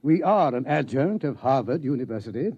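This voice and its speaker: A man's voice: medium pitch, expressive, fairly quick, mellow, breathy edge. An actor playing a formal, fussy male observatory director in a 1950s radio drama. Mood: pompous. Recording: muffled vintage radio recording.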